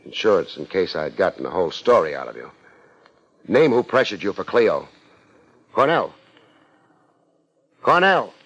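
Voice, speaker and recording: A man's voice: low-pitched, very animated, measured pace, pauses often, fairly bright tone, a little hiss or hum, exaggerated voice. An American man playing a hard-boiled, wisecracking private investigator in a 1950s radio drama, sardonic and unruffled. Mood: urgent, grim. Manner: sardonic, unruffled, wry first-person narration and blunt questioning. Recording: muffled 1950s radio recording with music.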